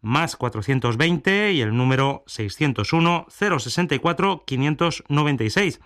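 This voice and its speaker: A man hosting an international radio program, presenting with a measured, formal announcer delivery. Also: a little high, fairly bright tone. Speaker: radio host.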